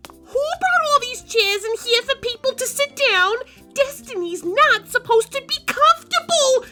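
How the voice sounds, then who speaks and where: A woman's voice: very high voice, expressive, measured pace, pushed and bright, faint music behind, full character voice. A woman playing a bossy, brash, complaining receptionist at a cosmic office in a comic sci-fi audio drama. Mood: indignant, bossy.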